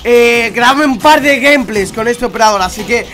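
A young Spanish-accented man with a fairly high voice, nasal and congested from a cold. He rambles casually to his viewers in slangy gamer chat, recorded at home on a headset mic. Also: moderate pace, a bit bright. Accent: Spanish from Spain. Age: young adult. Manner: chatty, slangy, addresses viewers directly. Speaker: gaming YouTuber. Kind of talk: casual gameplay commentary. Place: home room at a gaming setup.

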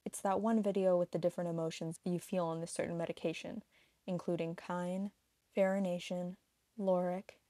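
A woman's voice: lowish voice, flat delivery, normal speed, mellow, no echo. A woman reading a scripted explainer narration for an online video.

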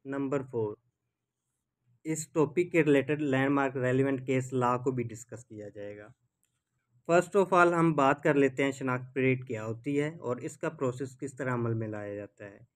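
A man's voice: mid-range voice, moderate pace. A man with a Pakistani accent giving formal, instructional narration as a legal lecturer. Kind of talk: scripted explanatory narration.

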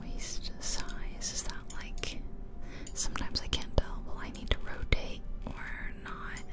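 A woman's voice: takes it slowly, over loud music. A woman whispering casual ASMR game commentary close to the microphone, unhurriedly thinking aloud. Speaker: ASMR video creator. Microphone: close ear-to-ear ASMR microphone.

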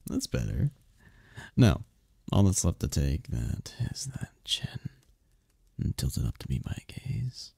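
A man playing a seductive, imperious demon prince in an ASMR romance roleplay, teasing and possessive, with a husky, slow, theatrical purr. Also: deep voice, very animated, warm tone, no echo, studio quality, heavily acted. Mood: teasing, commanding. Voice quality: gravelly, husky, often breathy whisper. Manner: slow, purring, theatrical, commanding.

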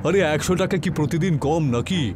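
Scheming village landlord for animation, played by a man: raised pitch, bossy, grasping, music behind. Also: fast talker, natural voice. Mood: demanding. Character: greedy, scheming middle-aged male village landlord. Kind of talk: cartoon dubbing.

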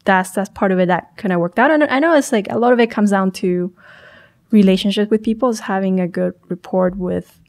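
A young woman from Chile, a startup founder speaking as a podcast guest in a small studio on a boom mic, talking thoughtfully with frequent restarts and self-corrections. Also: brisk, darkish tone. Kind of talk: podcast interview.

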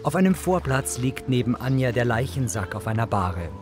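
A man's voice: mid-range voice, sing-song, normal speed, music in the background. A man narrating scenes in a neutral, descriptive audio-description style. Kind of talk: scripted narration.

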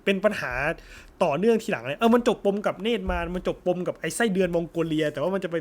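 Young male host, noticeably high-pitched, conversational and explanatory, for a relaxed film chat segment. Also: fast. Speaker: host of an anime discussion channel. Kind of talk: casual chat, after-viewing discussion.

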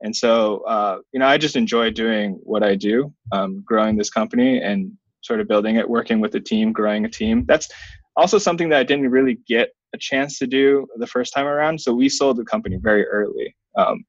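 Measured man in his thirties, dull dry sound. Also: on the low side, no echo. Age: 30s. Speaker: software company founder, interview guest. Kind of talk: conversational interview answers. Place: small plain indoor room. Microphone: webcam video call, wearing headphones.

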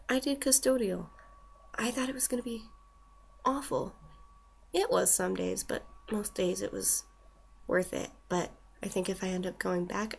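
Gravelly young woman vlogging with a cold. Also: medium pitch. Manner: chatty, wry asides, trailing off with "whatever". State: snuffly from a cold. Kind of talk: casual webcam vlog reading a list. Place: small room indoors with shelves. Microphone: built-in webcam mic.

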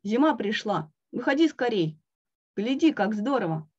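A woman voicing an excitable, cheerful male frog in a storybook reading, coaxing his friend and exclaiming often. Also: a little high, normal speed, breathy edge. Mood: eager. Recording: dull lo-fi recording.

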